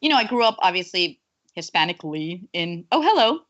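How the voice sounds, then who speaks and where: A young woman from Colombia chats with animated, bubbly energy and plenty of fillers. Her voice sits a bit low, and the call audio sounds dull and lo-fi. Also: brisk. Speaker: guest voice actor. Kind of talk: casual interview chat.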